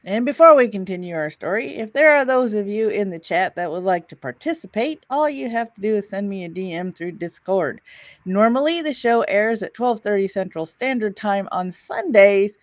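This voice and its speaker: Chatty lady stream host, joking around, mid pitch, close dry mic, bit lo-fi. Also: expressive, moderate pace, no room sound. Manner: joking, self-deprecating, colloquial. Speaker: host of an old time radio stream. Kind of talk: casual chat and live commentary. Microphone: close dry mic on a live stream. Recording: slightly dull online stream mic.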